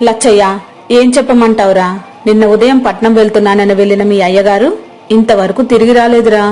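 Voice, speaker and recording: A woman's voice: medium pitch, steady tone, fast, mellow, faint music behind, lightly acted. A woman voicing an anxious village wife and mistress of a household in a moral story. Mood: worried.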